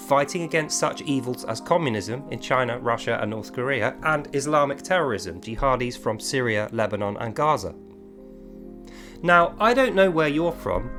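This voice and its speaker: A man from England with a British accent delivers a confrontational, insistent monologue as an online video creator, addressing one person directly with emphatic phrasing. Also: mid-range voice.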